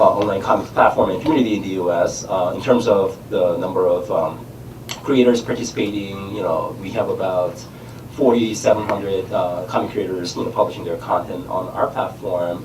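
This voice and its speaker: Korean-accented man with a cold, halting. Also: sits low, darkish tone, echoey room, far from the mic, a little hiss or hum, poor audio. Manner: halting, many "you know" fillers. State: has a cold, tongue numbed by lozenge. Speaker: startup founder. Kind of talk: casual onstage chat. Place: conference stage in a large room.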